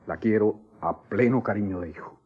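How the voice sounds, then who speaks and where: An old, dull radio recording of an earnest young man in a radio soap. His voice is low, and he declaims in florid, pleading, impassioned phrases. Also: normal speed, breathy edge. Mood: tender, solemn.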